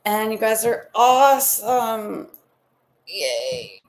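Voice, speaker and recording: A woman's voice: fairly high pitch, sing-song, drawn-out words, low-quality recording. A woman giving a live tarot reading from home, talking in a soothing, meandering, spiritual way with affectionate asides. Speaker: tarot reader.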